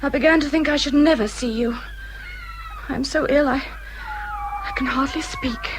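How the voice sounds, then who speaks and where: An actress playing a self-pitying hypochondriac English gentlewoman in a period radio drama, plaintive and complaining, with sighs and strong emphasis. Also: high voice, moderate pace, over music, natural voice, rough recording. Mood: feeble, complaining.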